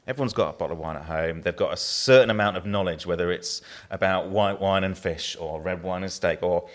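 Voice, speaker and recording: A man's voice: low-pitched, a little fast. A man with a southern English accent, a brewer being interviewed, who talks in a rambling way with many restarts. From southern England.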